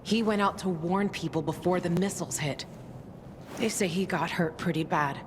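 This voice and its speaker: Actress as low-voiced, tough woman ally. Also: normal speed, big-room sound, natural voice. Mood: hushed worry. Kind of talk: video game cutscene acting. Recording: loud background rumble.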